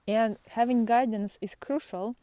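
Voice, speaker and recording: A woman with a mellow, relaxed voice talks slowly, as a spiritual guide would to her followers. Her delivery is tender and halting, with pauses between short phrases. It feels intimate and unscripted, at times close to a whisper. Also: fairly high pitch. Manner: tender, halting, with long pauses, sighs and soft laughs. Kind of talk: spontaneous, intimate spiritual talk to viewers. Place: outdoors in nature, walking by foggy fields.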